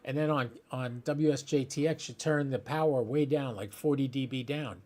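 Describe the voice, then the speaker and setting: A man's voice: medium pitch, normal speed, a little mellow. A man hosting a hobby channel, giving an informal live demonstration and thinking aloud as he works at his computer. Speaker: host of a ham radio channel. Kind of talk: casual live demonstration, talking to viewers.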